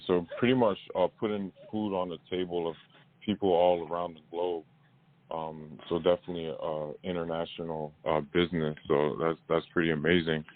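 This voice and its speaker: A deep-voiced man hosts a casual interview over thin, phone-like audio. His delivery is halting and hesitant, with words often repeated. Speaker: interviewer and host. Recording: dull phone-like call audio.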